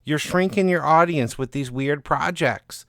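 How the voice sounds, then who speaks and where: A man voicing a frustrated literary agent in an audio story, measured and persuasive, with firm, worn patience. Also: fairly high pitch, vocal fry, a bit bright, dry recording, clean studio sound, pitched above the performer's own voice. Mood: exasperated.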